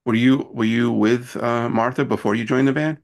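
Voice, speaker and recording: A man's voice: mid-range voice, fairly even intonation, normal speed, gravelly. A man hosting an interview, asking questions and reacting in a casual, conversational way. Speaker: interview host.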